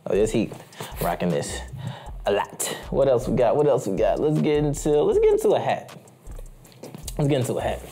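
A man's voice: on the low side, mellow. A young man chatting casually in a haul video, hyped and slangy, repeating his phrases. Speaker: streetwear haul vlogger. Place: small indoor room full of anime posters.

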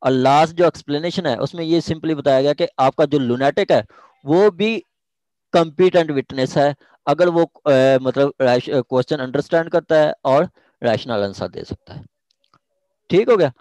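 A man's voice: on the high side, normal speed, a little mellow, no echo, poor audio. Pakistani man lecturing, dry close sound. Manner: repeats key phrases, switches between Urdu and English. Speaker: law lecturer. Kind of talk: informal lecture explaining a legal text.

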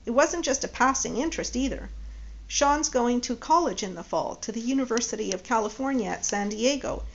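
Woman narrator doing a gushing middle-aged mom, pitched up a bit, lo-fi. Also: expressive, brisk, a little hiss or hum. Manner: gushing, effusive. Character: warm chatty middle-aged woman, Judy. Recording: dull lo-fi audio.

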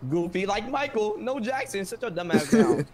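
A man with a fairly high voice and lively, swinging pitch goads others in street slang. He is pushy and profane, over faint game and vehicle noise. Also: moderate pace. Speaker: player in a crime role-play game session. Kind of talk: street-slang gamer role-play banter.

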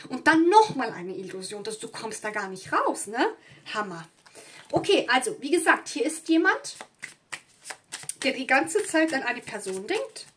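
A woman's voice: high voice, very animated, a little slow, a bit bright, a little hiss or hum. A woman who is not a native German speaker, giving a casual, rambling tarot reading at a table indoors, with many fillers and asides. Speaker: tarot reader. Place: indoors at a table with candles and flowers.

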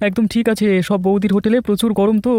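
Man voicing a rapid-fire, effusive village customer. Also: high voice, level tone, warm tone, soft background music, close to an everyday voice. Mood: effusive, pleased. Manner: effusive, chatty. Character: friendly flattering village customer, adult man. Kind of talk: cartoon dubbing.

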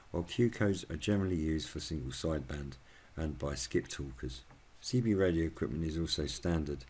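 Very deep-voiced man reading a scripted explainer. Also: slightly rough. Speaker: YouTube channel host.

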